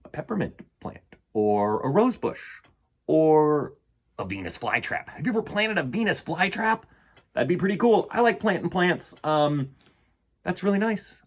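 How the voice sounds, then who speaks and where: Husky middle-aged man telling children's stories. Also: mid-range voice. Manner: theatrical, varied delivery addressed to young listeners. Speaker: children's storytime host and reader. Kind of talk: expressive picture-book storytelling for children. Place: indoor room lined with bookshelves.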